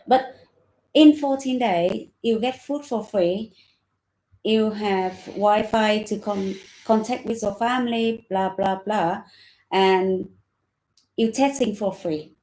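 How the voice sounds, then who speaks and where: A woman from Vietnam talks haltingly, leaning on fillers and simple grammar. Her voice sits at a middling pitch. The room around her rings with echo. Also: darkish tone. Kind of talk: casual chat. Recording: echoey room.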